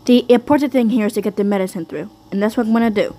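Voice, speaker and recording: A woman's voice: fairly high pitch, racing, warm tone, close to an everyday voice. A woman voicing an arrogant, taunting male sled dog in a cartoon fandub, with a smug, mocking drawl. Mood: commanding, scornful. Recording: noisy amateur fandub recording.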